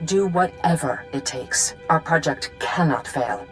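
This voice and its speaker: An actress playing a determined colonial governor in a video game, speaking formally and earnestly to rally a hero. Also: female voice, sits low, animated, unhurried, soft background music, poor audio. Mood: grave, urgent. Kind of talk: video game cutscene acting.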